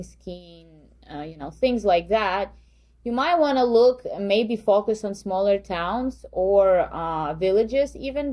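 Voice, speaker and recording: A young woman with a Ukrainian accent hosts a live dating webcast, offering friendly, chatty advice. Her voice is mellow and warm, and she pauses often on fillers as she explains. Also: medium pitch. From western Ukraine. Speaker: dating agency host.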